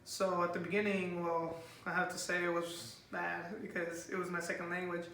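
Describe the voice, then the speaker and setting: A young man whose first language is Spanish answers interview questions, speaking with hesitant pauses and many fillers. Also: high-pitched, monotone, gravelly, bright, forceful tone. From United States. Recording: echoey room, far from the mic, faint noise.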